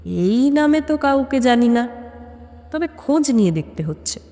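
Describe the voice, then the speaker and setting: A woman's voice: high-pitched, very animated, normal speed, mellow, pitched above the performer's own voice. Woman narrator as troubled policeman for an audio mystery, hesitant, weary, puzzled, heavy reverb. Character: worried, puzzled male police officer. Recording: long cavernous reverb on the voice.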